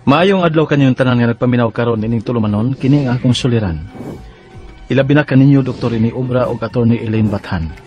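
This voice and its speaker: A man's voice: mid-range voice, fast, highs cut off. A man announcing the opening of a radio programme from a script. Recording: loud music behind the voice.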